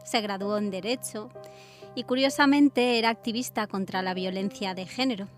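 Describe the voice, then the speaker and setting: A woman's voice: medium pitch, moderate pace. A woman co-presents a radio programme, setting out background facts. She, with faint music underneath. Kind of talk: factual background narration.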